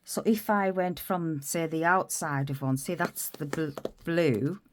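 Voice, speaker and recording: Deep-voiced woman, stuffed up with a cold, rambling friendly tutorial host. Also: measured pace, slight background noise. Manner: chatty, rambling, self-interrupting. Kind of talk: casual crafting tutorial.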